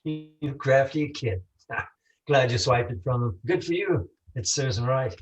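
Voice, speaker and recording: A man's voice: medium pitch, lively intonation, measured pace, faint music behind, lightly acted. A man playing a condemned, lovestruck small-time crook with slangy tough-guy talk in a noir radio play. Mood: fond, resigned. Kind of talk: 1940s noir radio acting, live community theatre.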